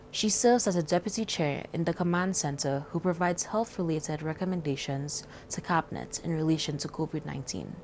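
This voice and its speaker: A woman with a fairly low voice delivers a scripted introduction as a moderator. Faint music plays behind her. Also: darkish tone.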